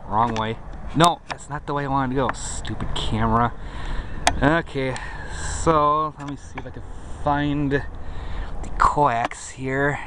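A man's voice: mid-range voice, steady tone, slow pace, noisy background. A man casually showing off his radio antenna setup, rambling with lots of fillers, filmed on a handheld camera outdoors and then at a computer. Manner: rambling, hesitant with many fillers. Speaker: hobbyist vlogger. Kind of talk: casual show-and-tell.

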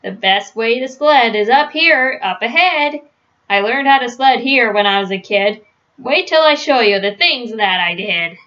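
An overconfident storybook papa bear, played by a woman shows off and instructs with emphasis. The voice is bright and rather high, on a slightly dull home recording. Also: expressive, measured pace. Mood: eager, boastful. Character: boastful, overconfident father bear teaching his son. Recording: dull, lo-fi webcam sound.

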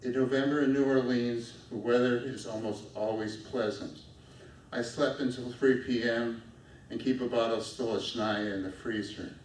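A middle-aged man reading his own poem aloud at a lectern on a small dark stage, in a steady, measured way. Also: medium pitch. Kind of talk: poetry reading. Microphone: stand mic at a lectern, filmed from far off. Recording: echoey room from far off, faint noise.

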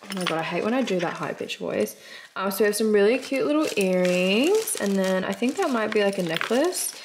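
A young Australian woman vlogger talks in a casual, gushing way at a medium pitch. She sounds like she is showing things to a handheld camera, with clear noise behind her voice. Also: lively intonation, brisk. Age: 20s. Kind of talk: casual vlog chat, unboxing.

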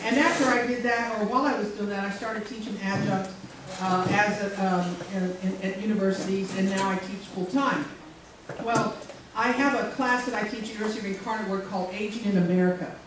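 A middle-aged woman with a strong, loud voice gives a chatty, joking talk with many fillers to a conference audience. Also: medium pitch, fairly even intonation, fairly quick. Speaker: speaker. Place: civic center meeting room. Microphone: handheld mic, far camera. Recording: echoey hall from far off, noisy.

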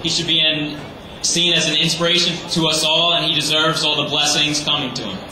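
A teenage boy giving an earnest, slightly halting graduation speech as salutatorian. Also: a little high, steady tone, sharp tone. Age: teens. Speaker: graduating student. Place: large hall or gym. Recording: echoey hall, far from the mic, noisy.

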